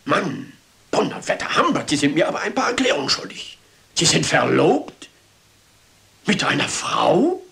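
A man playing a pompous, strict, blustering ship's captain in a radio crime drama, with a creaky voice and emphatic speech. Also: fairly high pitch, huge pitch swings, normal speed, bright, forceful tone, full character voice. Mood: stunned.

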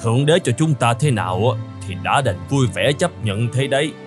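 A man's voice: mid-range voice, normal speed, close to an everyday voice. Man voicing old peasant husband, grumbly, kindly, exclamatory, cartoon fairy tale, music underneath. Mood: resigned. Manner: expressive, exclamatory. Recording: music behind the voice.

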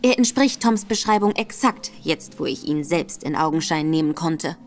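An actress playing a confident, cunning female detective in a radio drama, speaking briskly. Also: low voice, lively intonation, faint music behind. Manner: self-assured, brisk.

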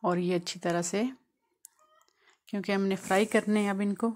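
A woman from Pakistan hosting a home cooking channel, calmly walking through each step of a recipe in a voice-over. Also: mid-range voice, moderate pace. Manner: calm, explanatory, walks through each step.

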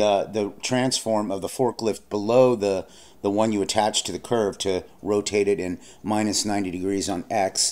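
A man narrating a screen-recorded software tutorial, explaining steps plainly with frequent fillers and restarts. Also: lowish voice. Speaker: software instructor.

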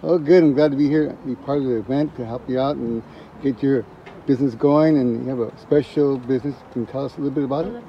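A man's voice: mid-range voice, fast, muffled recording, a little hiss or hum. A man interviewing guests at an outdoor red carpet event, asking short questions and giving brief encouraging replies.

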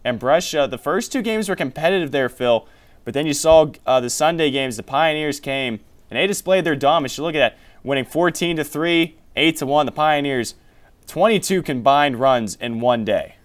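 A man's voice: a little high, lively intonation, normal speed. A young man hosting a college sports show from a small TV studio, running through scores and stats before handing questions to his analyst. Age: young adult. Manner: reads scores and stats, then hands off questions.

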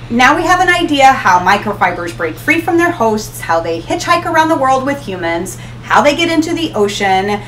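Middle-aged lady teaching to camera, fairly high voice that bounces around a lot, faint hum behind. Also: low-quality recording. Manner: upbeat, expressive, gestures while explaining. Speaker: ocean education channel host. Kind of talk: scripted educational talk to camera. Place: small room in front of a hanging tapestry.

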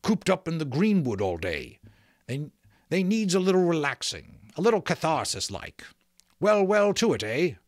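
A huge, rough outlaw from a storybook, acted out by a man reading aloud. The voice is gruff and booming, swinging widely in pitch as he banters in rustic dialect. The sound is dry and close. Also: sing-song, moderate pace, no echo. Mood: good-natured, jovial.

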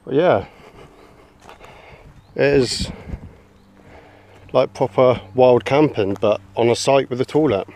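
A young Englishman with a medium-pitched voice talks casually to a handheld camera outdoors. He chats easily, calling his companion "mate". The recording is open air, with no room echo. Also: slow pace, long pauses, a little mellow. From England. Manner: chatty, calls his son mate. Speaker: bushcraft vlogger. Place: woodland and grassy campsite outdoors.

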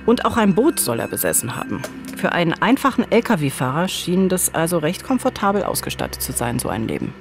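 A woman's voice: lowish voice, sing-song, brisk, few pauses. Woman narrating true crime over music. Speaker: true-crime show co-presenter. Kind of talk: conversational scripted crime narration. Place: indoor radio studio. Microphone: studio boom microphone.

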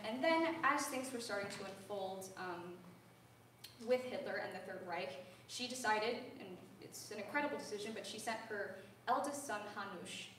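A woman's voice: on the high side, fairly bright tone, distant mic. An earnest young woman talks her audience through a lecture, conversational and dotted with ums. Her voice comes from well across a big recital hall, washed in heavy reverb over a faint hiss. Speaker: voice student giving a lecture recital. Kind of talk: spoken lecture. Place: large recital hall with a grand piano. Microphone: far-off room camera. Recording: echoey hall, heard from afar, faint hiss.

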